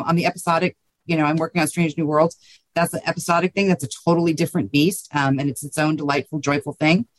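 A woman's voice: low-pitched, no echo, low-quality recording. Woman talking thoughtfully, dry close sound. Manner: thoughtful, informal, peppered with you know and right. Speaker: guest writer. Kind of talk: conversational interview answer.

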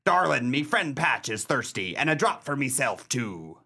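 Pirate for radio comedy: gruff, vain, suspicious, gravelly creak, forceful edge, broad seadog dialect. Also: male voice, mid-range voice, very animated, normal speed, a little hiss or hum, exaggerated voice. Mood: boastful. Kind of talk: comic radio play acting.

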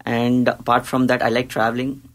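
A man's voice: mid-range voice, completely flat delivery, moderate pace, fairly bright tone, slight background noise. A young man student and club officer talking modestly and plainly about his hobbies. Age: young adult. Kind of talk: casual interview chat.

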